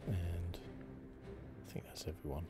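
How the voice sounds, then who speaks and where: Very deep-voiced man, soft ASMR commentary. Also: flat delivery, slow. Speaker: gaming channel host. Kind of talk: relaxed ASMR game playthrough commentary. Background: game music.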